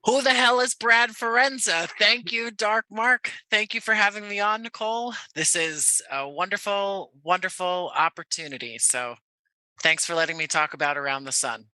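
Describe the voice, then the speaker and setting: Male podcast guest from New Jersey: very bright, sharp voice, effusive casual chat, dry video-call recording. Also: moderate pace, no echo, low-quality recording. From New Jersey, USA. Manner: high-energy, effusive. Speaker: podcast guest, audio playwright.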